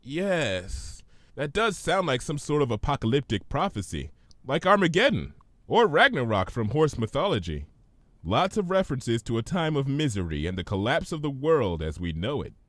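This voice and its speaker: Man voicing a measured, reasonable pony princess. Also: mid-range voice, sing-song, no room sound, close to an everyday voice. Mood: thoughtful. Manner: measured, explanatory. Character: calm, reasonable female pony princess, bookish and reassuring.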